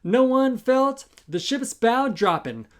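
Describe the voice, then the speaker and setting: Slow-paced man reading a children's story. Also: very high-pitched, expressive. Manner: theatrical, rhythmic, deliberate, addressing young listeners. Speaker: children's author reading own book. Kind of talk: animated musical storytime read-aloud with rhythmic scat.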